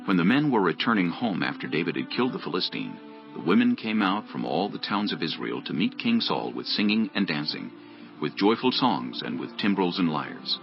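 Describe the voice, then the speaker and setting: A man narrating scripture dramatically from a script, in a gravelly, husky voice. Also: low-pitched, sing-song, moderate pace, muffled recording, soft background music.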